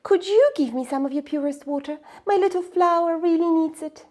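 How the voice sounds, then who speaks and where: A woman voicing an excitable, impatient little girl in a children's storybook, with urgent, pleading delivery. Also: very high-pitched, a little fast, pitched above the performer's own voice. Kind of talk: children's storybook read-aloud.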